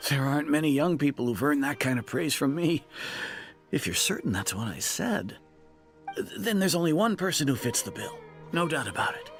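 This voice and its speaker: Friendly older villager, game voice, lively pitch, matter-of-fact. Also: male voice, normal speed, soft background music. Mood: helpful. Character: friendly elderly villager, fond of praising youth. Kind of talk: video game voice acting.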